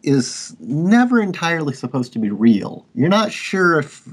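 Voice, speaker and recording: A man writer talking as a podcast guest in an earnest, reflective and halting way. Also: on the high side, very animated, slightly rough. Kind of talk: casual interview talk.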